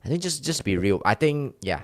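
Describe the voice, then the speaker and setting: A man's voice: mid-range voice, sing-song, a little fast, no echo. A man with a Chinese accent, a streamer chatting casually with his viewers, rambling and candid with many restarts. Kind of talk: casual live-stream chat with chat.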